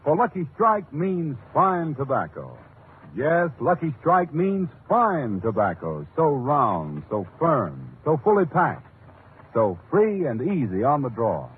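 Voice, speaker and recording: Animated vintage radio ad man. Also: on the high side, slow pace, phone-quality sound. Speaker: commercial announcer. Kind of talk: 1940s radio cigarette advertisement, emphatic slogans. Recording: hissy, band-limited vintage radio broadcast.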